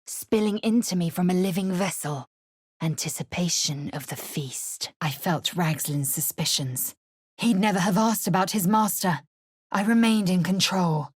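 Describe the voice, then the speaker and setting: A proud alien warrior woman from a fantasy video game. Her voice is low and husky with a rasping edge. She speaks in slow, clipped, formal phrases, stern and scornful, as one used to giving orders. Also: no echo, clean studio sound. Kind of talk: dramatic game dialogue and narration.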